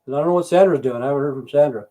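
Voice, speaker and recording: A man's voice: fairly high pitch, racing, warm tone. A middle-aged man from Idaho, rambling and swearing through a casual livestream with frequent "you know" fillers. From Idaho, USA. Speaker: livestream host.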